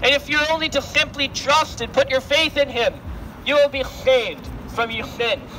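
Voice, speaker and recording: Very high-pitched young male street preacher. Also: normal speed, bright, forceful tone. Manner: earnest, declamatory, projecting, repetitive direct address. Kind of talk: open-air gospel sermon. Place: outdoors on a busy city sidewalk. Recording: noisy city street, traffic ambience.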